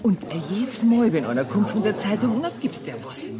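An actress playing an earthy, bossy, self-assured woman banker with a Bavarian accent in a radio play, brisk and mocking. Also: medium pitch, very animated, close to an everyday voice. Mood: bossy, confiding. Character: brash, self-assured woman banker holding court among tavern customers. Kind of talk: radio play acting in dialect. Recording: 1979 radio play, busy crowd behind.

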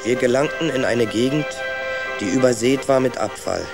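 Ein Mann als erzählende Ratte, mittlere Stimmlage. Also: male voice, medium pitch, moderate pace, gravelly, over music, lightly acted. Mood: atmospheric. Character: male rat recalling his youthful journey. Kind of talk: scripted narration in children's radio play.

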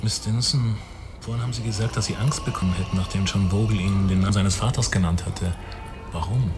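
A man's voice: on the low side, fairly even intonation, brisk, over music, close to an everyday voice. An actor playing a patient, fatherly police lieutenant who probes with questions in a radio drama. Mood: calm, businesslike. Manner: patient, probing. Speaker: police interrogator.

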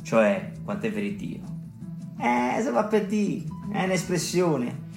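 A man's voice: on the high side, sing-song, slow pace, exaggerated voice. Garrulous male caretaker, Roman accent, earthy and emphatic, colloquial radio-play monologue, rambling asides, music underneath. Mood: insistent, earthy. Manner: colloquial, digressive, emphatic, addressing listeners directly. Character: earthy garrulous male health-office caretaker, smallpox survivor and storyteller. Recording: clear music behind the voice.

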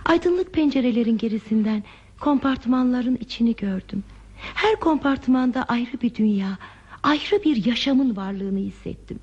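A woman playing a curious, romantic visitor in a radio play, with expressive, dreamy, theatrical phrasing. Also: fairly high pitch, measured pace, husky, muffled recording. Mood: enchanted, dreamy. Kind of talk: Turkish radio theatre acting.

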